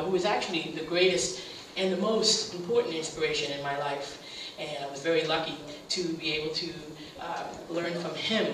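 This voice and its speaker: A woman who hosts a radio show gives an earnest, heartfelt speech at a community center, halting on fillers and then swelling with emphasis on key phrases. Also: deep voice. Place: meeting room at a community center. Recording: very echoey room from a distant mic, faint hiss.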